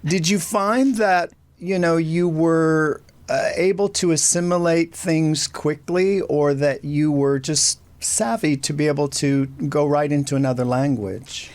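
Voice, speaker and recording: A man's voice: on the high side, steady tone, a little slow, few pauses, a little mellow, dry recording. Male interview host, dry close sound. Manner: friendly, meandering questions with fillers. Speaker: talk show host.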